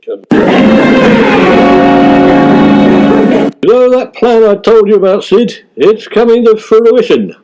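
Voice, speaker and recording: A man acts out a pompous, self-deluded ageing British actor for a radio comedy sketch. The voice sits very high and has a soft, mellow edge, forever sounding wounded and indignant. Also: rough recording. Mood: conspiratorial. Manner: aggrieved, pompous, emphatic.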